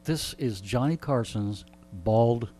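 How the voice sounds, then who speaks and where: Animated, wry man chatting on radio. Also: mid-range voice, slow. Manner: wry teasing, rambling with repeated phrases. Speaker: radio entertainment presenter, guest. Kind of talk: casual radio chat.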